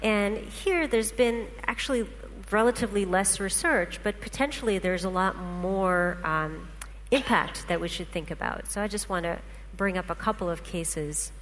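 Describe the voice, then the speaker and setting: A woman's voice: mid-range voice, normal speed, hardly any pauses, a bit bright. A woman, a native Russian speaker, explaining patiently with examples in a talk to a panel. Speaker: speaker presenting research. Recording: hall reverb, faint hum.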